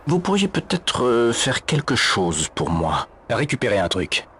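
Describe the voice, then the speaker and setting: Evasive male café manager, played by a man for a game dub: sardonic, hesitant, highly animated intonation. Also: a little slow. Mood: wary, bargaining. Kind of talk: video game fan dub acting. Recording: faint background noise.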